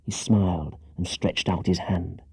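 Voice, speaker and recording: A man narrating a children's story as an audiobook reader, with dramatic, scripted delivery and a husky voice. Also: deep voice, fairly even intonation, a little slow, a little mellow, no echo.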